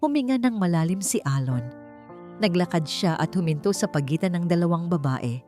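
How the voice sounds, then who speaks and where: A woman narrating a scripted story in a theatrical, suspenseful way with deliberate pauses. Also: lowish voice, lively intonation, mellow, soft background music. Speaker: audiobook narrator.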